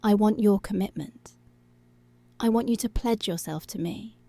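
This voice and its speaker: A woman playing a dominant, seductive policewoman in an intimate ASMR roleplay, giving hushed, deliberate commands that are possessive yet tender, close to the microphone. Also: medium pitch, vocal fry, no room sound, natural voice. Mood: firm, possessive. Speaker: ASMR voice actor. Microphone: close, dry microphone.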